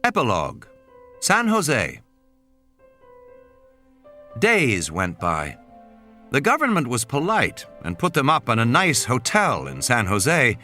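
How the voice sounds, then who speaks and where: A man's voice: medium pitch, huge pitch swings, slow, long pauses, bright, forceful tone. A man reading a dramatic scripted story as an audiobook narrator, with music and sound effects behind him. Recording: music under the voice.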